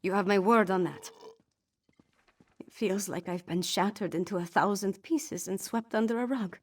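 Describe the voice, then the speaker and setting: A woman's voice: medium pitch, fairly even intonation, moderate pace, breathy edge, lightly acted. An actress plays a young fantasy game princess who has little polish and speaks frankly. She sounds wry and self-doubting, yet earnest and resolute, in a mid-range voice. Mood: grieving, earnest. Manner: earnest, informal, self-deprecating. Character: young princess, wry, rough-edged, grieving and unsure but resolute. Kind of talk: video game voice acting.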